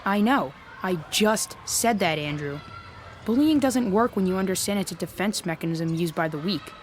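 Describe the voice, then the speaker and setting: A woman's voice: mid-range voice, moderate pace, slight background noise, natural voice. A woman voicing a bookish, pedantic know-it-all schoolchild in an audio drama. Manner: pedantic, matter-of-fact.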